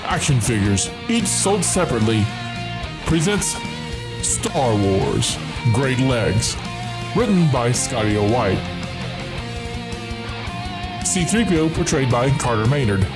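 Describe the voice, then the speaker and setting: A male announcer reads credits at a slow pace. His mid-range voice moves up and down in lively fashion over loud plucked guitar music. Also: mid-range voice.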